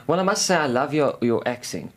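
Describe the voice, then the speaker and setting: Casting: South African breakfast show host, woman, very low voice, bubbly and quick. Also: big pitch swings, heavy background noise. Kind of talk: upbeat interview hosting. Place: broadcast studio.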